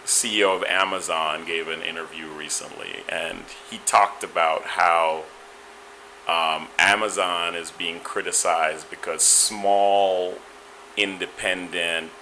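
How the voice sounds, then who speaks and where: Low, bright-voiced man with a Caribbean accent. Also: steady tone, slight background noise, low-quality recording. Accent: Caribbean, Guyanese and Trinidadian. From Guyana. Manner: reflective, measured, with frequent restarts and "you know". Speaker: interview guest. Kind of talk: conversational interview answers.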